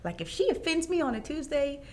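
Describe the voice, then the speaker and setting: Expressive woman in heartfelt conversation. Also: high-pitched, a little fast. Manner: animated, emphatic, laughs easily. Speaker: host interviewing her mother. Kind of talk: heartfelt faith-based conversation.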